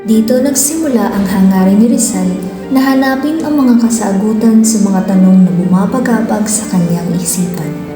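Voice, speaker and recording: A woman's voice: mid-range voice, moderate pace. A woman narrating a scripted radio drama. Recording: roomy sound under clear music. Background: music.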